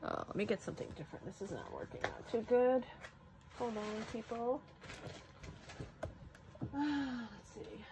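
A woman's voice: on the high side, expressive, a little slow, long pauses, background noise. A woman giving casual unboxing commentary at a craft table, chatty and musing aloud to herself. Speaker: crafter showing a product haul.

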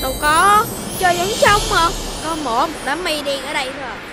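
A chatty, stubborn young cartoon child, with a very high-pitched southern Vietnamese voice. The manner is childlike and bold, and music plays clearly behind it. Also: female voice, expressive, fast. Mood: friendly, chatty.

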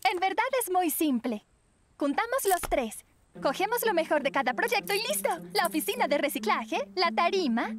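A woman's voice: very high voice, sing-song, moderate pace. An actress playing an earnest teenage girl in a children's cartoon dub. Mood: hopeful. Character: earnest teenage girl planning her own party. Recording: music behind the voice.

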